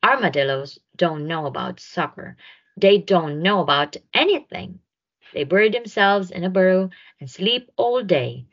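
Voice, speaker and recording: A woman's voice: fairly low pitch, expressive, a little slow, dull audio. Woman playing a scornful, know-it-all storybook parrot. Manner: dismissive, mocking.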